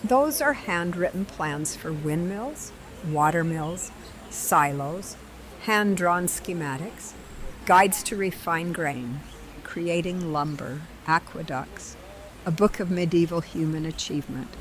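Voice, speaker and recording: A woman playing a slow, formal mysterious trader. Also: lowish voice, low-quality recording. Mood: assured. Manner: measured, deliberate, formal.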